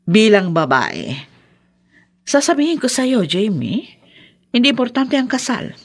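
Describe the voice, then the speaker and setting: A woman's voice: fairly high pitch, big pitch swings, measured pace, full character voice. An actress playing a possessive, guilt-tripping older mother in a Filipino radio drama, with a pointed, reproachful delivery. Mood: cold, disapproving.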